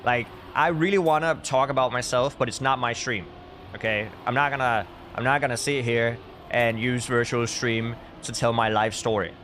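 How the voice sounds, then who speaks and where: A man's voice: mid-range voice, steady tone, normal speed, a bit bright, a little hiss or hum. A man, chatting casually and commentating as a live esports caster, with dry, tongue-in-cheek humour. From Denmark. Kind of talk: casual live stream chat with game commentary. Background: music.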